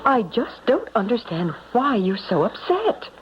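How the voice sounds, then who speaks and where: An actress playing a seductive, scheming femme fatale in a radio drama, cooing and coaxing with false tenderness. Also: female voice, on the high side, sing-song, a little slow, over loud music. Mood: coaxing, defensive. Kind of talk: old-time radio drama acting. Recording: muffled, noisy radio drama recording.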